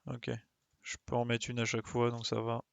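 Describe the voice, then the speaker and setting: A man's voice: medium pitch, monotone, fairly quick, vocal fry, no echo. A man giving casual live game commentary, muttering his reactions to himself. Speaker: game streamer.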